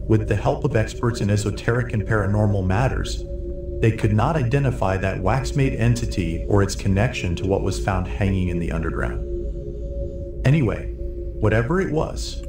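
Low voice guy doing formal horror doc narration, echoey, music behind him. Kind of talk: scripted documentary-style horror narration.

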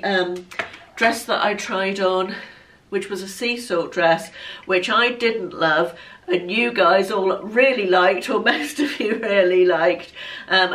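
A woman's voice: mid-range voice, a bit bright. A woman in her fifties, congested from hay fever, chatting in a rambling, self-deprecating way as a fashion vlogger in her living room. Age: 50s. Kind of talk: casual chat. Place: bright living room at home. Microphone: camera across the room. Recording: voice a bit far from mic.

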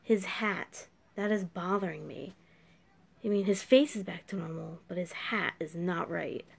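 Scratchy-voiced young woman, very gravelly. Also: fairly low pitch, unhurried. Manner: puzzled, exclaiming questions. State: scratchy sore throat. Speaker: reaction video host. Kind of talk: casual reaction chat. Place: small room at night. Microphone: webcam at desk.

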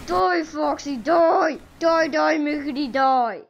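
A woman's voice: sits high, takes it slowly, creaky, lo-fi sound, voice pushed up, exaggerated voice. A woman voicing an over-dramatic, whiny animatronic bear in an improvised video game role play. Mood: furious. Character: whiny animatronic bear having tantrums, turning evil.

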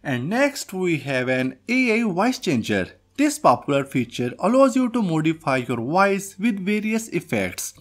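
High, lively man narrating a tutorial. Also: unhurried, hardly any pauses. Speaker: tutorial host. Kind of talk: scripted software tutorial narration. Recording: music behind the voice. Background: background music.

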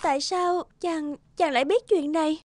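A woman voicing a gentle, wistful young princess in a fairy-tale cartoon, with a soft, sighing delivery. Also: sits high, steady tone, moderate pace, slight background noise, lightly acted. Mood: tender.

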